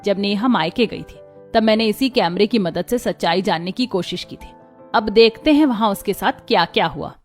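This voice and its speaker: A woman's voice: mid-range voice, normal speed, natural voice. A woman voicing a caring, indignant middle-aged mother-in-law in a melodramatic cartoon family drama, earnest and emphatic. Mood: resolute. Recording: music under the voice. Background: background music.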